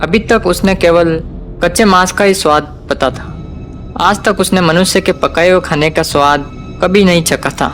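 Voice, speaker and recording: A man's voice: high voice, normal speed, a bit bright, soft background music. A man narrating a scripted cartoon folk tale for children as storyteller.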